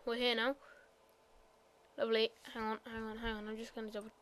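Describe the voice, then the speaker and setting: A woman with a fairly high voice narrates a game tour in a loose, unscripted way. She hesitates often, fills gaps with ums and apologies, and now and then bursts out loudly. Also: little pitch movement, fairly quick. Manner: quiet, sometimes bursts out loud. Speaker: gameplay commentator.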